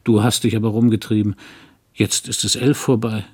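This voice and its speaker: A man's voice: fairly low pitch, level tone, normal speed, darkish tone, breathy edge. A man reading a good-natured but plodding small-town doctor husband in an audiobook, rambling and mildly grumbling.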